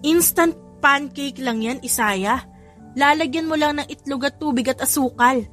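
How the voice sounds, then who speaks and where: A high-voiced young mother performed by a woman storyteller who nags in exasperation yet has a soft heart. It is romance audio drama, with music playing underneath. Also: normal speed. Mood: exasperated. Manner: nagging, exasperated. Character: young mother, irritable but soft-hearted, secretly in love.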